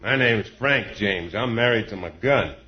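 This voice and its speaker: An actor playing a tough, menacing Western outlaw with folksy threats in a radio comedy. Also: male voice, fairly low pitch, animated, a little slow, pitched below the performer's own voice. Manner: gruff threats in folksy cowboy slang. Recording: dull, very noisy old radio recording.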